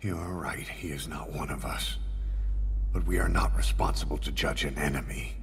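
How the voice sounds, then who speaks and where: A cold, very deep-voiced soldier. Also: male voice, gravelly, big-room sound, background noise. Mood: calm. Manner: calm, low, measured. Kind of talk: video game cutscene acting.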